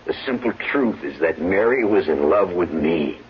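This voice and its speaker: A deceitful husband in vintage radio drama. His very low voice swings widely as he earnestly protests and pleads. The broadcast sounds muffled. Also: lively intonation, normal speed, slightly rough, heavily acted. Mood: insistent, pleading. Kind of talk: 1970s radio drama acting.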